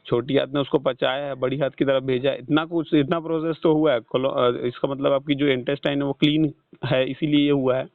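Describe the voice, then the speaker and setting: Creaky-voiced Indian man counselling by phone. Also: medium pitch, little pitch movement, a little fast, no echo. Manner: didactic, repeats and checks understanding. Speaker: health counsellor on a call. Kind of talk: explanatory phone consultation. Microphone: phone call. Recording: muffled, band-limited phone call recording.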